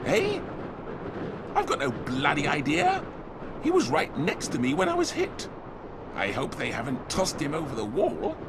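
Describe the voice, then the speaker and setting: An actor playing a sly, argumentative, whining soldier in a dramatic fantasy video game. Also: male voice, fairly high pitch, wildly swooping pitch, a little slow, pauses often, noisy background. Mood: agitated. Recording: music behind the voice.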